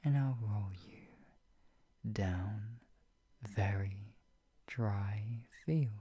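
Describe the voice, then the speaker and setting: An actor playing a sadistic, gloating yandere in an ASMR roleplay, with a breathy, husky voice that often drops to a whisper. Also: deep voice, mellow, heavily acted. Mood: menacing whisper. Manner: menacing, slow deliberate phrasing with sighs. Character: sadistic, gloating, murderous yandere obsessive taunting a captive. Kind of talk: improvised ASMR roleplay, intimate monologue.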